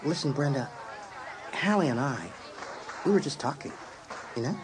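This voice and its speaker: An awkward, hesitant American high school boy acted by a man in an audiobook drama. His pitch moves around a lot, with clear noise behind. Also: expressive, normal speed, crowd noise, lightly acted. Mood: nervous, awkward.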